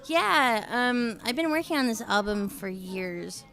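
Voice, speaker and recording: A woman's voice: fairly high pitch, expressive, a little slow, fairly bright tone. Woman musician guest; chatty, loose, lots of "um" and "like". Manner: chatty, many fillers and restarts. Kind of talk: casual interview chat. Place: radio show studio.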